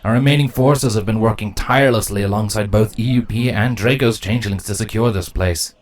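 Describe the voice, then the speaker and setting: A man voicing a good-natured, loyal pony guard captain with a warm, easygoing manner in a story reading. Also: lowish voice, a little fast, close to an everyday voice. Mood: informative. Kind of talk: acted fan fiction dialogue.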